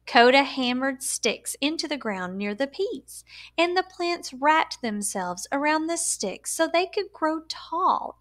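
Slow, high-pitched woman reading a storybook. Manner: animated, deliberate, emphatic storytelling delivery for young listeners. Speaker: storyteller reading a picture book aloud. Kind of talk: expressive children's read-aloud narration.

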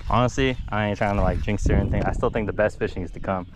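A man's voice: on the low side, racing. A middle-aged man vlogging from a small fishing boat, chatting casually in slang and whooping when he hooks a fish. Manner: excited whoops and laughs, slangy. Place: small boat on a marshy river, outdoors. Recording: outdoor wind and water noise.

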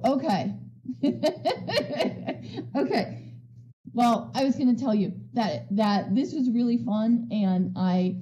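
A woman speaking on a conference panel in a casual, excitable way, restarting her sentences often and laughing. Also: fairly high pitch, muffled recording. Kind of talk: conversational panel talk. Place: virtual world session via voice chat.

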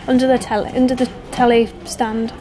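A woman's voice: a little high, little pitch movement, fairly quick, a crowd in the background. A young woman vlogging casually while shopping in a home-goods store, talking to a handheld camera. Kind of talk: casual chat.

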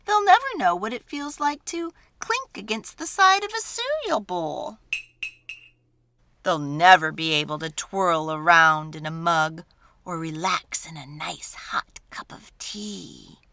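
A woman voicing a gentle, reassuring mother spoon in a storybook, soothing and sing-song. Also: fairly low pitch, expressive, slow pace, no room sound, full character voice.

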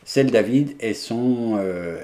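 A man's voice: medium pitch, unhurried, warm tone. A man in his forties, a woodworker, explaining his machine setup in a casual, hesitant way with many fillers, recorded in his workshop. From France. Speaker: woodworker and YouTuber. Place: large wood workshop, indoors.

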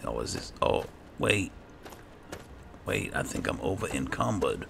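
A man with a gravelly voice giving casual, low-key commentary while playing a fantasy game, musing aloud as he goes. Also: sing-song, measured pace, noisy background. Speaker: gaming host. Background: game ambience.

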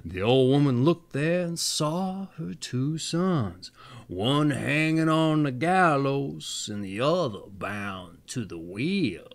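A man in his thirties reading fairy tales aloud expressively, with theatrical emphasis, drawn-out words and folksy asides. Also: medium pitch, expressive. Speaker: storyteller, show host.

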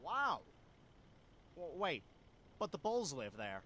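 A man's voice: on the high side, wildly swooping pitch, takes it slowly, bright, forceful tone, slight background noise, heavily acted. A man voicing a skeptical, exasperated cartoon animal sidekick, sarcastic in manner, for an adventure game.

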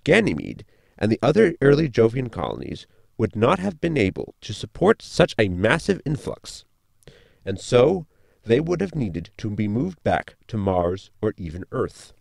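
Man acting pedantic, gravelly, very animated spaceship crewman. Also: medium pitch, moderate pace, no echo, clean studio sound, exaggerated voice. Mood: lecturing. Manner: formal, lecturing, wordy. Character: erudite, pedantic, deadpan male crew member. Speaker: actor in audio drama. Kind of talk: science fiction sitcom audio drama acting.

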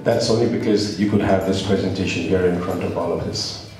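A man's voice: deep voice, steady tone, brisk, a little mellow, soft background music. A middle-aged man with a Nepali accent, giving a congratulatory speech at a podium. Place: hall, at a podium. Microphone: podium microphone. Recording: very echoey hall, distant.

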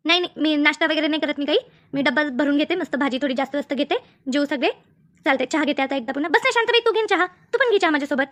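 A woman plays a cartoon village woman with a high voice and extremely quick speech. She is chatty, assured and obliging, with a homely Maharashtrian accent. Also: a bit bright. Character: Geeta, obliging, talkative village woman friend. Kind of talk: Marathi comedy cartoon dialogue.

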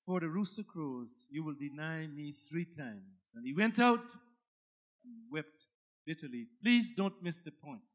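A man with a Guyanese accent preaching a sermon as a pastor, emphatic and dramatic, repeating phrases for effect. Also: fairly high pitch.

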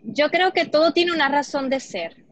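A woman's voice: high voice, animated, normal speed, a bit bright, no echo. A Dominican woman in her twenties with a Cibao accent, a guest answering interview questions earnestly and reflectively over a video call. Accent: Dominican Spanish, Cibao. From Dominican Republic. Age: 20s. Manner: earnest, reflective, many fillers. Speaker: guest, fitness model. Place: small room indoors. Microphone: laptop or phone mic on video call. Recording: muffled video call audio.

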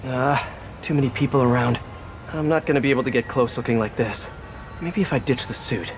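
A young American male superhero from a video game played by an actor, talking to himself with dry, quippy wit. His voice sits at a middling pitch, with clear city ambience and traffic behind it. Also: fairly quick, close to an everyday voice. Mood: focused, quippy. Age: young adult. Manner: wry self-talk.

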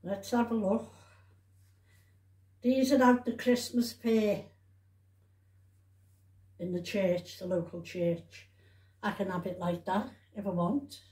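A woman talks in an unhurried, mellow voice. She rambles chattily as if to a camera at home, circling back over her words and adding tag questions. The room gives her voice a noticeable echo. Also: medium pitch. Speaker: vlogger showing shopping haul. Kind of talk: casual rambling chat to camera. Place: indoors at home. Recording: roomy, echoey home recording.

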